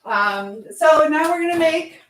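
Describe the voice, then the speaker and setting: A woman's voice: high-pitched, lively intonation, a little slow, a bit bright, rough recording. A woman hosting a casual live stream while she cooks, chatting informally to viewers and reading out their comments. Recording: clear background noise and clicks.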